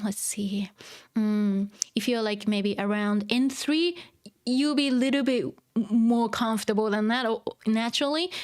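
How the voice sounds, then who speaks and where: A woman whose first language is Japanese, speaking English with a fairly high voice. She talks through a lesson on a casual livestream, loose and chatty, padding her sentences with fillers. Her tone stays encouraging as she answers viewers. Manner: chatty, full of "you know" and "um", encouraging. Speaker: Japanese teacher streaming a manga reading.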